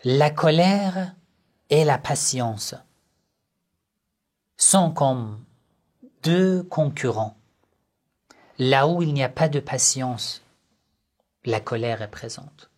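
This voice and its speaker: A man giving a religious lesson on family life, speaking in short, measured phrases and asking rhetorical questions that he then answers himself. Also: mid-range voice, a little mellow. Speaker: religious teacher.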